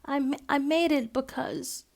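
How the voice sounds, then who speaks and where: An excitable young boy from a children's storybook, acted out by a woman narrator. The voice sits high, with an eager, playful and tender-hearted manner. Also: unhurried, slight background noise. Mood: tearful, nervous.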